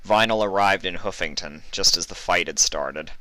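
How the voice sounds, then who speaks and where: A deep-voiced man narrates a written story. His tone has a bright, forceful edge, and the sound is very dry, with no room on it. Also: moderate pace, dry recording, low-quality recording. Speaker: fan fiction reader.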